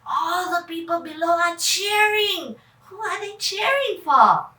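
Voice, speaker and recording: A woman storyteller reads for children from a little way off in an echoey room. Her delivery is slow and theatrical, drawing young listeners in with questions. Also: extremely high pitch, expressive, pushed and bright. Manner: theatrical, puts questions to child listeners, vocal sound effects. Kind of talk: dramatic children's story reading. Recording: echoey room, far from mic.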